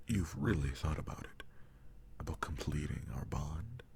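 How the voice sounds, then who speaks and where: A man playing a powerful, devoted demon lover in an intimate roleplay audio drama, speaking formally in a breathy, gravelly half-whisper. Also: rumbling low, lively intonation, a little mellow, a little hiss or hum, heavily acted. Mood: hopeful, yearning. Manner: slow, formal, archaic phrasing. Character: powerful male demon, devoted, jealous lover bound to a sorcerer. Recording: music behind the voice. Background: music.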